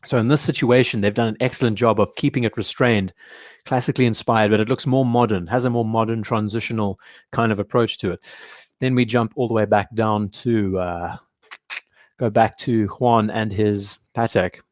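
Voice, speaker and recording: A young man hosting a live watch show from home in casual commentary, his voice hoarse and strained, often clearing his throat. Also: on the low side, steady tone, moderate pace, no room sound, studio quality.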